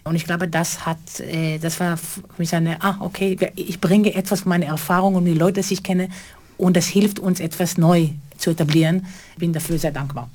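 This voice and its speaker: A middle-aged woman from Israel, a choreographer answering interview questions in halting, German. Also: fairly low pitch, steady tone, a little mellow. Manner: halting, non-native grammar, earnest. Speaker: choreographer and theater co-founder.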